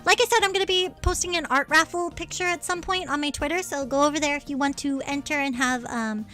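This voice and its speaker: A woman streamer chatting casually with her livestream viewers in a bubbly, cutesy, expressive manner. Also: sits high, quick pace. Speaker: streamer, VTuber.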